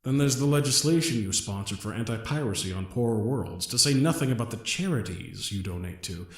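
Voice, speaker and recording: A man playing a polite, earnest gentleman genius in an audio drama, with a formal, wordy and slightly hesitant way of speaking. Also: on the low side, animated, brisk, echoey room. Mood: earnest, measured. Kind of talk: scripted audio drama acting.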